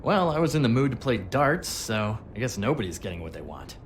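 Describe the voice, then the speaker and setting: An actor playing a cocky, smooth-talking troublemaker in a video game, sarcastic, chatty and goading. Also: male voice, mid-range voice, very animated, fairly quick, slight background noise, close to an everyday voice. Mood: needling.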